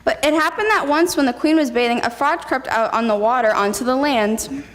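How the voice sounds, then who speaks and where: Higher-voiced woman narrating in a reverberant hall. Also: fairly quick. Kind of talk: scripted fairy-tale narration, readers theatre. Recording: noisy hall with long reverb.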